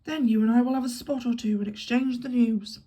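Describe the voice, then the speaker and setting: A woman's voice: fairly high pitch, fairly even intonation, a little fast, mellow, voice pushed up, close to an everyday voice. A woman reading an audiobook, voicing a jovial man who teases an old friend in a hearty way. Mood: teasing. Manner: teasing, hearty.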